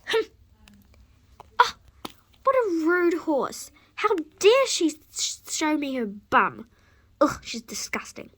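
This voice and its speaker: A woman voicing a sulky, haughty horse that speaks slowly and snootily. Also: very high voice, very animated, fairly bright tone, pitched above the performer's own voice, exaggerated voice. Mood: sulky, snooty. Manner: slow, snooty, disdainful.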